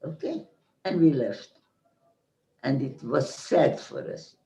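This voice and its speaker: A woman in her nineties with a Hungarian accent, giving slow, halting testimony as a survivor. She often restarts phrases and checks in with "Okay?". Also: low voice, lively intonation, warm tone. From Hungarian-speaking Central Europe. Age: 90s. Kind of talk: slow personal recollection. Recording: roomy echo.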